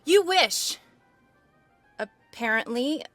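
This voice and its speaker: An actress playing a young, people-pleasing hustler in a scripted podcast comedy, with an animated, rambling, sardonic way of talking. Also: female voice, sits high, very slow, no room sound, soft background music, full character voice. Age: young adult. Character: young, hustling, people-pleasing woman, sharp-tongued and desperate.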